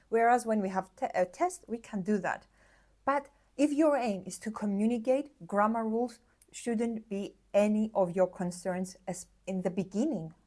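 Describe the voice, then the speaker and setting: Earnest woman teaching to camera. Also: medium pitch. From Cyprus. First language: Greek. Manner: animated, earnest and encouraging, with rhetorical questions. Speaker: language teacher. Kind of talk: explaining to camera.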